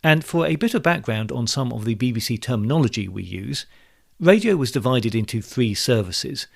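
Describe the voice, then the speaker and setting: A man's voice: mid-range voice, animated, moderate pace, gravelly. A British man hosting a podcast, giving scripted narration and putting questions to a guest. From United Kingdom. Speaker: podcast host and interviewer.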